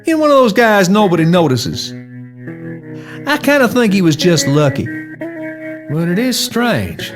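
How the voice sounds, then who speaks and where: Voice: medium pitch, big pitch swings, a little slow, long pauses. An actor playing a petty, envious small-town local in a game cutscene, begrudging and dismissive. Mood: resentful. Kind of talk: animated game cutscene acting. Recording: guitar music behind voice.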